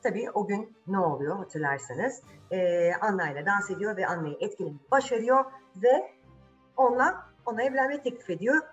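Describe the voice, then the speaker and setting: A woman's voice: medium pitch, muffled recording. A woman storyteller retelling a fairy tale to children in a dramatic, conversational way, with rhetorical questions and repetition. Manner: dramatic, rhetorical questions, repeats phrases for suspense. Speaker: children's storyteller, channel host.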